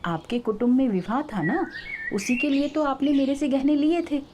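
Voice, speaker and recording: Woman acting earnest, trusting woman, raised pitch. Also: moderate pace, background noise, close to an everyday voice. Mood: hurt, accusing. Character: trusting, earnest young wronged woman moneylender.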